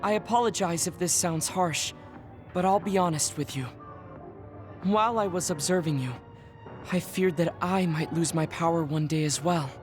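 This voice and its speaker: A man voicing a reserved young male soldier who hides a secret, speaking formally and softly. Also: a little high, moderate pace, lightly acted. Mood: worried, formal. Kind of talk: game cutscene dialogue. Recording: game audio with music behind.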